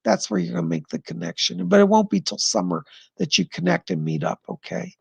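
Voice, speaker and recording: A man hosting a live stream of psychic readings, answering viewer questions in an effusive, chatty way and pausing to listen to spirits. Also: sits high, wildly swooping pitch, creaky, a little mellow, slightly rough, dry recording, muffled recording. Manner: pauses to listen to spirits mid-sentence.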